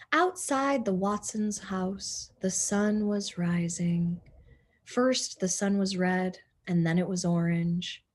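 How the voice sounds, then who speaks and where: A woman reads a children's storybook aloud at a very slow, deliberate pace. She is an animated teacher with a middling pitch, speaking directly to her young listeners with questions and little asides.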